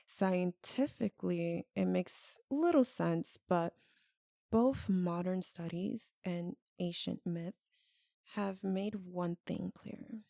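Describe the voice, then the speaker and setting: A woman playing a tender, tormented vampire lover in an intimate ASMR roleplay, speaking haltingly and earnestly with long dramatic pauses. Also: fairly low pitch, gravelly, mellow, no room sound, studio quality. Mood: solemn, explaining.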